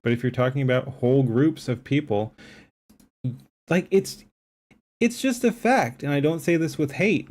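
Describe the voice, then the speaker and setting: A man's voice: fairly high pitch, a little mellow. A man commenting live on a stream, talking emphatically in a rambling way, with lots of fillers and restarts. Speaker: streamer reacting to a debate clip.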